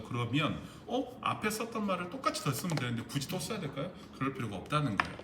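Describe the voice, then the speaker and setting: Male instructor, exam-prep lecture, animated up-and-down delivery, constant comprehension checks, echoey room, audible background noise. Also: mid-range voice. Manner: explains step by step, keeps checking understanding with questions. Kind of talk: recorded lecture on spreadsheet formulas.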